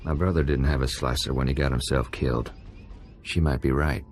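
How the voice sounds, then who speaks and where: A man playing a tough, laconic, suspicious prison gang convict in a game, gruff, clipped and wry. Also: very deep voice, fairly even intonation, a little fast, a little hiss or hum. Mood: wary, blunt. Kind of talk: game cutscene voice acting.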